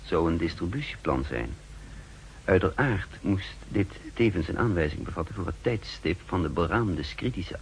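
A self-assured double agent in an old sixties radio play. He has a low voice with a brisk, secretive manner, recorded with slight noise behind it. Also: rough recording. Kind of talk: 1960s Dutch radio drama acting, partly interior narration.

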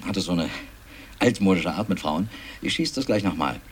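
An actor in a radio drama playing a film company cameraman who recounts events in a halting, colloquial, chatty way. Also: deep voice, expressive, slight background noise. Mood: chatty recounting. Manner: halting, colloquial, repeats and restarts phrases. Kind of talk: 1960s German radio drama, acted eyewitness account.

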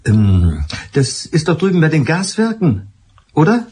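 An actor playing a courteous, skeptical police inspector in a German radio play, speaking in a measured, slightly hesitant way. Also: male voice, fairly high pitch, wildly swooping pitch, darkish tone, husky, full character voice. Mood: polite, probing.

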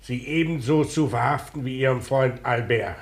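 An actor playing a stern, sardonic, paternal police inspector in a 1950s German radio drama, with an authoritative, wry manner. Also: male voice, mid-range voice, normal speed, breathy edge. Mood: stern questioning.

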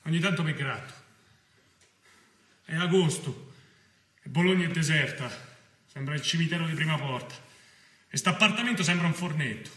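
An actor playing a restless, foul-mouthed young fugitive in a theatrical monologue, with a Roman accent and a colloquial manner. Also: male voice, a little high, normal speed, pauses often, natural voice. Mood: brooding, fed up. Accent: Roman Italian. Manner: colloquial, swearing, swinging from brooding to excited play-acting. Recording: roomy, distant mic with faint noise.

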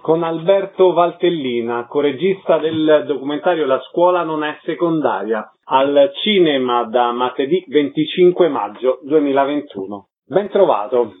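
A man's voice: a little high, lively intonation, measured pace, few pauses, narrow, tinny audio. A man hosting a radio show, reading introductions and putting questions to his guests. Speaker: radio host and interviewer. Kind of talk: radio interview, scripted introductions.

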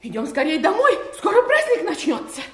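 Shrill, high, bossy princess. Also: female voice, sing-song, fairly quick, a bit bright, roomy sound, full character voice. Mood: impatient. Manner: impatient, commanding. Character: bossy, mean young princess, impatient elder sister. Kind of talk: theatrical fairy-tale radio play acting.

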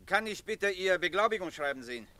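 An actor playing a cautious, rule-bound money courier in a radio play, formal and politely wary. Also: male voice, high-pitched, expressive, brisk, sharp tone, no echo. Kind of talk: German radio play acting.

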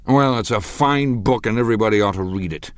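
A brash, domineering rich man who lectures loud and insistent, pitched a touch higher and pushed harder than the male reader's usual tone. The sound is dry and close, with a deep voice underneath the bluster. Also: fairly quick, dry recording, close to an everyday voice. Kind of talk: audiobook character voice.

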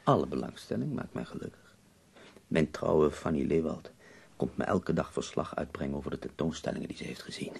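An actor playing an ailing, bedridden poet in a radio drama, wry and death-weary, speaking theatrically with dramatic pauses. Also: male voice, very deep voice, expressive, a little mellow, heavily acted. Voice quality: husky, gravelly.

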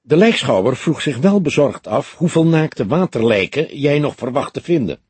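Husky young detective sidekick, voiced by a man. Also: mid-range voice, expressive, normal speed, darkish tone, no room sound, pitched above the performer's own voice. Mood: wry. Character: young male police detective, earnest, slightly teasing sidekick. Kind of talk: acted dialogue in an audiobook.

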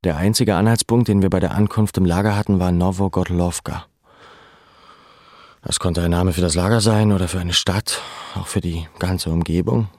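A man playing a camp survivor who recalls his deportation in measured, sober, reflective first-person narration. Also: low-pitched, darkish tone, no room sound, studio quality. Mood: matter-of-fact. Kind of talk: literary first-person narration of an audio drama.

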